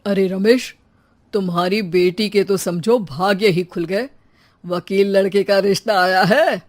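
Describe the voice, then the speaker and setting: A woman's voice: mid-range voice, steady tone, measured pace, natural voice. A woman voicing a chatty male matchmaker neighbour in a cartoon story, eager and familiar.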